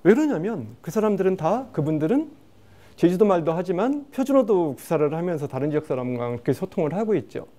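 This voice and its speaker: A man gives a brisk lecture on dialect songs, his voice slightly high and mellow, and his pitch swings widely as he puts questions to his listeners. He talks quickly and keeps the panel engaged. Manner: animated, asks the audience questions.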